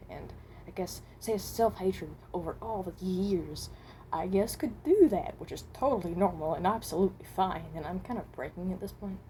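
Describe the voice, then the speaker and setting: A woman voicing a weary, self-loathing animatronic rabbit, halting and often trailing off, in an amateur improvised monologue. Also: on the low side, mellow, a little hiss or hum, natural voice, rough recording. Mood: bitter, breaking.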